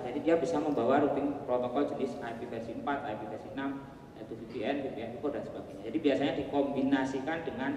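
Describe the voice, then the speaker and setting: A man's voice: medium pitch, far from the mic, dull audio, a little hiss or hum. Javanese-accented man in an echoey hall. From Java. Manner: relaxed, joking asides, frequent fillers. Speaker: conference presenter. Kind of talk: casual technical presentation. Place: large hall.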